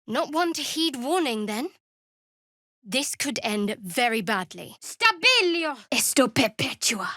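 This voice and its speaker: An actress playing a guarded, sardonic young cleric of a dark goddess in a video game, with a dry, wry delivery. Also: female voice, high voice, big pitch swings, slow pace, no room sound, full character voice. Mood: forceful incantation. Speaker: game character.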